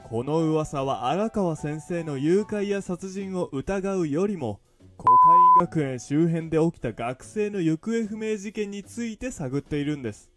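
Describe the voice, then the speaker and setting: A polite, earnest school club president from a visual novel, who happens to be an eel. He explains things formally and at a quick clip, with music playing behind him. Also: on the high side, huge pitch swings. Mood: earnest, explaining. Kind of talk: visual novel character acting.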